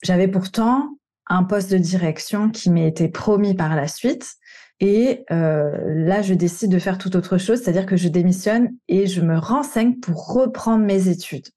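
A woman's voice: lowish voice, a little slow, mellow. A woman in her thirties from the Paris region, a coach telling her own career story in a candid, conversational way with some slang. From Paris region, France. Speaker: coach and podcast host. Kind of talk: personal storytelling monologue.

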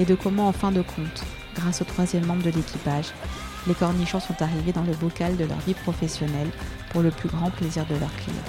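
Low-voiced lady hosting a food podcast, curious, easy questions, music playing under her. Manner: warm, curious questioning with fillers. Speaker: podcast host. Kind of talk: scripted intro then casual interview.

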